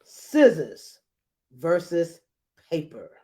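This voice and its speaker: A woman's voice: low voice, big pitch swings, drawn-out words, warm tone. A woman reading a children's storybook aloud in a dramatic way, with playful asides. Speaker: storybook reader. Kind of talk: animated children's read-aloud narration.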